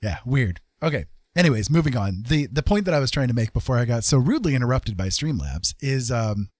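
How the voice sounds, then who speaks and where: A man's voice: medium pitch, lively intonation, a little fast, a little mellow, no room sound, studio quality. A man hosting a livestream, talking in a casual, unscripted, rambling and conversational way. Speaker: live streamer hosting a chat stream.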